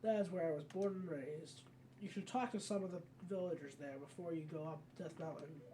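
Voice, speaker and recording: A gaming video maker reading out a solemn female royal guardian from a fantasy game in a measured way. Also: medium pitch, mellow, distant mic, a little hiss or hum, close to an everyday voice, poor audio. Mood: solemn, instructive.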